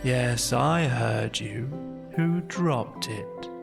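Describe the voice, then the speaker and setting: A storybook narrator voicing a gloomy, self-pitying donkey in a slow, mournful, resigned way. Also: medium pitch, vocal fry, over music, heavily acted.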